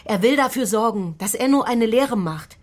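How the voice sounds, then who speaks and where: Anxious, husky-voiced woman, higher pitched. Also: expressive, moderate pace. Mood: anxious pleading. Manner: urgent, reproachful. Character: anxious, scolding working-class woman, pleading and easily cowed. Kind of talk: naturalistic radio crime drama acting.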